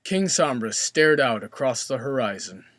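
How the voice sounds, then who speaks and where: A man narrates a scripted story in a mid-pitched voice. The recording is dry and close, with no room sound around him. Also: animated, normal speed, fairly bright tone, no echo.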